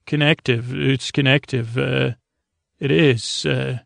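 A man hosting a bedtime podcast in a rambling, halting, goofy monologue, repeating and stammering as he drifts along, with a creaky, gravelly voice. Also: medium pitch, steady tone, a bit bright, no echo, clean studio sound. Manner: goofy, oddball. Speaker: podcast host.

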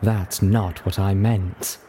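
Man as a purring, gentle lady unicorn. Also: low-pitched, level tone, slow, a little hiss or hum. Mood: gentle, coaxing. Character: female unicorn, refined, gentle, teasing sweetheart.

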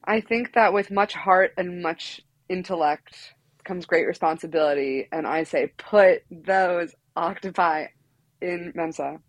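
A woman's voice: fairly low pitch, a little slow, dry recording. Giggly New woman guest. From New York. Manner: playful, giggly, rambling. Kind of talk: casual comedic podcast chat.

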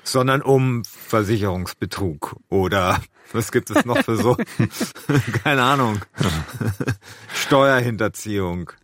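Low-voiced male co-host, dry sound. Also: slow pace, fairly bright tone, no echo. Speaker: podcast co-host. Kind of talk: casual, joking chat.

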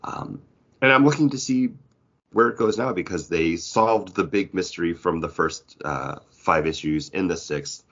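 Man, low-pitched voice, podcast co-host, casual conversational delivery, slightly lo-fi recording. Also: normal speed, a bit bright. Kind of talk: casual comic book chat.

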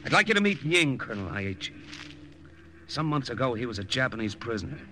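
A man's voice: mid-range voice, sing-song, a little fast, sharp tone, a little hiss or hum. A man acting a tough, sardonic young American agent in an old radio drama, clipped and wry. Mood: coolly menacing. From United States. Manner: hard-boiled, clipped, wry. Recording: old radio broadcast recording, organ music.

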